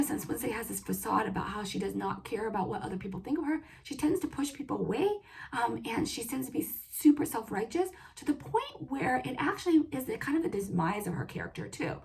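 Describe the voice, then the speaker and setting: Woman w a cold, voice all hoarse and crackly, chatty opinionated show review, kinda high. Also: darkish tone. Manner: casual, opinionated, with frequent "um" and "like". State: hoarse, losing her voice from a cold. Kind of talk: solo review monologue.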